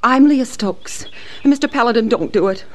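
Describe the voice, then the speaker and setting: A woman acts a frightened ranch wife in an old radio western. Her voice is fairly high, hesitant and nervous, as if afraid of being overheard. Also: normal speed, close to an everyday voice. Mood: anxious pleading. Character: timid, anxious rancher's wife, pleading furtively. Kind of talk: 1960s western radio drama acting.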